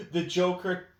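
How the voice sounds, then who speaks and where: A man co-hosting a casual chat, laid-back and profane, breaking into shouted jokes. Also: sits high. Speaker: co-host. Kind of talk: casual banter. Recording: echoey room sound.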